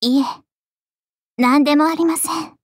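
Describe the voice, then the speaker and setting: Anime game role: young dragon maiden, high and unhurried, genteel, devoted, possessive. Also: female voice, steady tone, dry recording, clean studio sound. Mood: attentive, possessive. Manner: polite, genteel, softly deliberate. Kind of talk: Japanese anime game voice lines.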